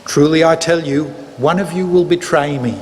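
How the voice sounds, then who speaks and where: A man acts out a solemn religious teacher instructing his followers in a dramatic scripture reading. The voice sits a little high for a man and is measured and declarative. It rings through a reverberant hall with faint noise. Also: lightly acted. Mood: grave. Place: large church, live reading.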